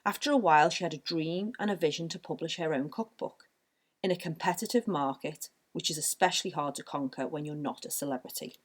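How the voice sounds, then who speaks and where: A woman co-hosting a podcast, introducing a guest and asking questions in a measured, even way. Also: low-pitched. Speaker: podcast co-host. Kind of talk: scripted guest introduction and chat.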